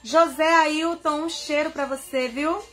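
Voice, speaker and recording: A woman's voice: high-pitched, fairly even intonation, unhurried, a bit bright, faint music behind. A young woman, chatting casually on a live stream from a bedroom, greeting viewers by name in an affectionate way, recorded on a phone held at arm's length. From Bahia, Brazil. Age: young adult. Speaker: singer hosting a live stream. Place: bedroom indoors, seated on bed. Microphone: phone camera at arm's length.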